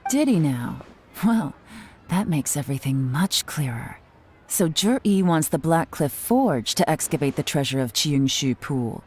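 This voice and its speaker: A woman playing a shrewd, composed, mysterious investigator in a video game, speaking in a measured, knowing, analytical way. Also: low voice, lively intonation, darkish tone, slightly rough, full character voice. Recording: music behind the voice.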